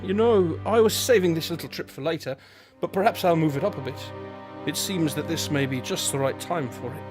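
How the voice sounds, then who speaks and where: A man's voice: on the high side, fairly quick, natural voice. A man playing a wry, teasing divine guide in an audio drama, polite and lofty in manner. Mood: patient. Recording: dramatic music underneath.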